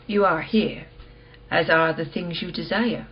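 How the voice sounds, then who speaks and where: An actress playing a cool, enigmatic dealer in lost art treasures in a radio play, speaking in a terse, controlled way. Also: female voice, lowish voice, a little slow, lo-fi sound, natural voice. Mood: firm, cryptic.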